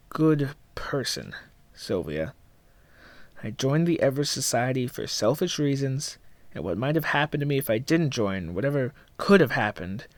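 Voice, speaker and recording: A man's voice: mid-range voice, no room sound. Remorseful, weary man, dry close sound acted. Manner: halting, regretful. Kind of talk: dramatic audio drama monologue.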